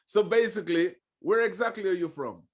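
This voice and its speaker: A man's voice: high-pitched, expressive, fairly quick. A man hosting a reggae podcast, asking eager, pressing questions. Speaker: podcast host. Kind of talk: podcast interview.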